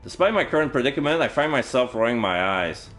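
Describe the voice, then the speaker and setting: A man's voice: mid-range voice, expressive, fast. A man livestreaming a game, reading visual novel text aloud and adding casual commentary as he plays. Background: game music.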